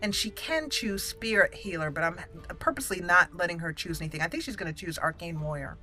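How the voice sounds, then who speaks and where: A woman's voice: lowish voice, lively intonation, brisk, sharp tone. A woman giving casual, chatty gaming commentary, thinking aloud and exclaiming as she plays. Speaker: gameplay video host. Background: game music.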